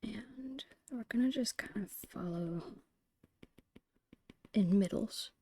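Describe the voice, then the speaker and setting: A woman whispering in a breathy, creaky voice, murmuring her way step by step through an ASMR art narration. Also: medium pitch, dark tone, a little hiss or hum. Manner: unhurried, murmured step-by-step musing. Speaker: host of an art ASMR channel.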